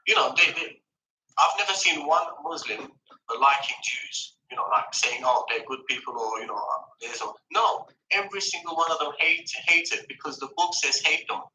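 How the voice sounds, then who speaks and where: A man from Turkey who joins a casual chat as a guest and makes his points in an emphatic, argumentative way, in quick bursts. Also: fairly high pitch, expressive, bright, forceful tone, roomy sound, recorded from a distance, a little hiss or hum, low-quality recording. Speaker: guest on a call.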